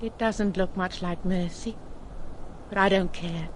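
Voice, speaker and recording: An actress playing an earnest, anxious young woman, devoted and quietly determined, in an audio drama. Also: lowish voice, fairly even intonation, unhurried, lightly acted. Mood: pleading. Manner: earnest, pleading. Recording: clear background noise and ambience.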